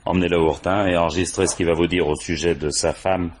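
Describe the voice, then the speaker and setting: A man acting a gruff, sly police commissaire in a radio drama, with a colloquial, pressing way of talking. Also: deep voice, fairly even intonation, fast, slight background noise, natural voice. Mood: firm, guarded. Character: gruff, pushy male police commissaire, world-weary and sly.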